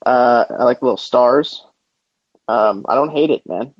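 A man's voice: mid-range voice, measured pace, breathy edge, no room sound. A man chatting casually and informally as co-host of a sports podcast, giving his opinions. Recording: dull, lo-fi audio.

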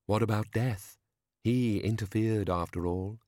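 A man voicing a faceless, genderless celestial bureaucrat in an audiobook, cautious, pedantic and officious, with deliberate delivery. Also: on the low side, dark tone, natural voice. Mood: pedantic, bickering.

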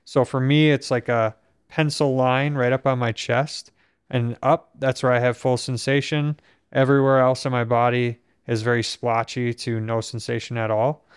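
A young man from Minnesota talks in a steady, reflective way. His voice is smooth and clean, with little rise and fall and not much breath behind it. The recording is dry and close to the mic. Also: medium pitch, moderate pace, dry recording. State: weak breath support. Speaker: podcast host. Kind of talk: casual conversation.

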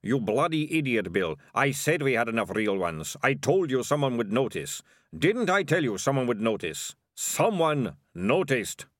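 Guy doing a big gruff thug rat catcher, creaky voice, bullying, rough talk, storybook villain. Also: mid-range voice, very animated, measured pace, fairly bright tone, no echo, studio quality. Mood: exasperated. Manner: menacing, rough colloquial speech.

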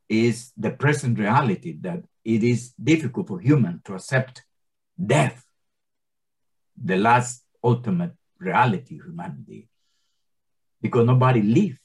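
Impassioned man in his sixties teaching scripture. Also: lowish voice. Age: 60s. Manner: non-native English with grammar slips; impassioned, many stammered restarts. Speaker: Bible teacher. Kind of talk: informal Bible teaching to a small group.

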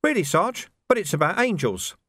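A man's voice: high-pitched, very animated, normal speed, fairly bright tone, breathy edge, no room sound, clean studio sound, voice pushed up, full character voice. A man voicing a naive, eager young recruit with an innocent, questioning way of talking in an audiobook. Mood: innocent, puzzled. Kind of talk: audiobook character voice.

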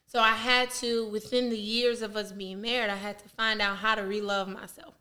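A woman's voice: medium pitch, level tone, normal speed, bright, forceful tone. A young adult woman co-hosting a casual podcast chat, frank and reflective, laughing often. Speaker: podcast co-host.

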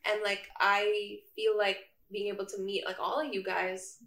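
A woman's voice: medium pitch, level tone, a little slow, fairly bright tone. Young woman from indonesia, casual rambly student chat, bit hesitant, echoey room. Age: young adult. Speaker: podcast guest, international student. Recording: roomy echo.

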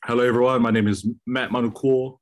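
A man's voice: medium pitch, fairly even intonation, fast. A young man with a New Zealand accent, a university student, speaking as a guest on a podcast in a hesitant, reflective way with many fillers. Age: young adult. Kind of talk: casual podcast chat.

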